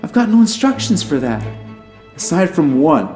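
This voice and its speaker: A high male voice in an echoing room with music underneath. A man plays an affable, slightly nervous alien living as a human, making his pitch in an audio drama. He rambles informally, with wry asides and resigned candor. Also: sing-song, moderate pace, a little mellow. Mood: frank, resigned. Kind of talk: audio drama monologue in a conversational speech. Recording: roomy echo, music underneath.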